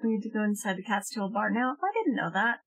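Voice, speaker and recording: Medium-pitched woman chatting over a game. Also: very animated, quick pace, slight background noise. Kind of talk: casual chat while gaming.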